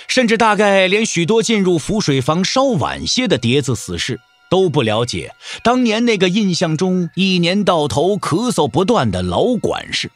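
A man narrating a martial arts audio drama in a dramatic, emphatic storytelling style. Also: a little high.

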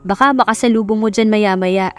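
A woman's voice: mid-range voice, brisk, close to an everyday voice. A woman voicing an informal, accommodating male mansion guard in an audiobook story. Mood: casual, helpful. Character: casual, helpful male mansion security guard. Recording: music under the voice.

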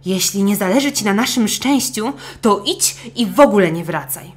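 A woman's voice: mid-range voice, animated, moderate pace, pitched above the performer's own voice, lightly acted. A woman voicing a greedy, hot-tempered fisherman's wife in a storybook, scolding and demanding emphatically.

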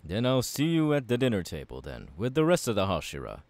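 A man's voice: lowish voice, huge pitch swings, brisk, a little mellow, no room sound. A man playing a passionate, courteous young warrior mentor in an ASMR audio roleplay, speaking in an earnest, formal and encouraging way.

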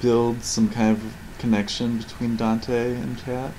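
A man's voice: medium pitch, little pitch movement, measured pace, mellow, slight background noise, low-quality recording. A man giving casual, questioning commentary over game cutscenes, rambling and lightly sardonic. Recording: game audio playing behind voice.

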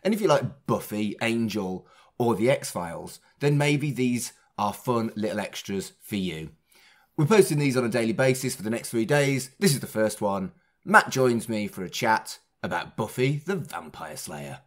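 A man hosts a podcast in a casual, chatty way. His medium-pitched voice rises and falls in animated swings, and he slips in wry, self-mocking asides. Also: moderate pace. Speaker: podcast host.

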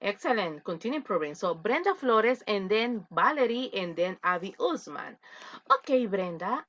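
A woman's voice: medium pitch, sing-song, moderate pace, fairly bright tone. A woman teaching English online, switching between Spanish and English as she encourages her students. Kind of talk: online class, coaching students. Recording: dull lo-fi audio.

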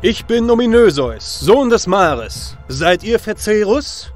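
An arrogant, brave hero of myth, acted by a man, declaims in a high, pompous male voice. He sounds formal and sure of himself, in fantasy audio play style, with faint music underneath. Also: sing-song, slow, exaggerated voice. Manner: pompous, formal, self-assured. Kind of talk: fantasy audio drama acting.

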